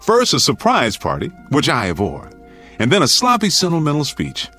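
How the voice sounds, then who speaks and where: An American actor playing a wry middle-aged doctor, mock-grumpy and gruffly affectionate, in a radio drama. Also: male voice, on the high side, huge pitch swings, normal speed, bright, forceful tone, faint music behind, exaggerated voice. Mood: wry, heartfelt.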